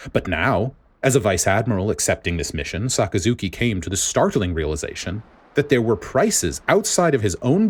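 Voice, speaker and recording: A man reading a story aloud as dramatic scripted narration, in a grave, deliberate manner. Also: on the low side. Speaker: podfic reader.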